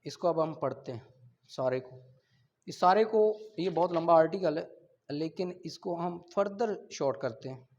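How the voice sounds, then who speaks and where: Male lecturer, Pakistani accent, high-pitched voice, explains step by step and repeats key terms. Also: moderate pace, darkish tone, highs cut off. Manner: checks listeners' understanding, repeats key terms. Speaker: law teacher. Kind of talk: classroom lecture, reading out and explaining.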